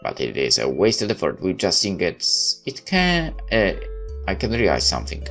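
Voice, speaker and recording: A very low-pitched male gamer reads story text aloud in a dramatic let's play style, tripping over the odd word. He drops in wry, joking comments of his own. Clear music plays behind him. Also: wildly swooping pitch, moderate pace, a bit bright. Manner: wry, dramatic reading with stumbles. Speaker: gamer reading a visual novel aloud. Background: game music with guitar.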